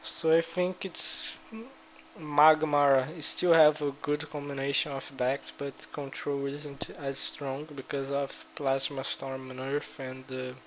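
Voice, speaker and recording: A man's voice: mid-range voice, level tone, slow pace, a little hiss or hum, rough recording. A man from Brazil with a Brazilian accent, casually giving card game strategy advice with loose, rambling speech full of fillers. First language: Portuguese. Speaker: gaming video creator. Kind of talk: casual gaming strategy talk.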